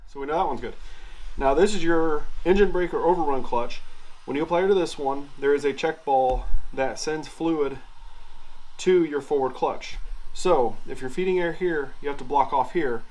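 A man's voice: fairly high pitch, a little mellow. A man giving an unscripted, step-by-step hands-on tutorial as a mechanic, in plain-spoken words. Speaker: mechanic demonstrating a repair on video. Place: indoor garage workshop at a workbench. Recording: clearly noisy workshop camera audio. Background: clear workshop background noise.

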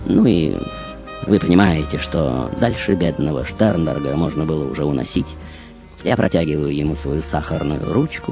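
Radio monologue: grandiose, self-admiring film diva played by a man, lowish register, theatrical irony, music underneath. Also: darkish tone. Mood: languid, self-admiring. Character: Marlene Dietrich, seductive, self-admiring, ironic film diva. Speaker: radio show host. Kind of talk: theatrical first-person radio storytelling.

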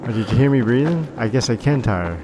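A man casually commenting while playing a game, musing and joking in slow asides. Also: mid-range voice, expressive, background noise. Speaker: game streamer. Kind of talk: casual let's-play commentary. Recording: voice over game music.

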